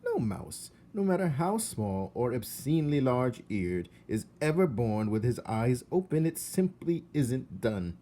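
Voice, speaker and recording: Male reader as scandalized mouse aunt: haughty, high-flung, emphatic storybook disapproval. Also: very animated, unhurried, hardly any pauses, dark tone. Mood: indignant, scandalized.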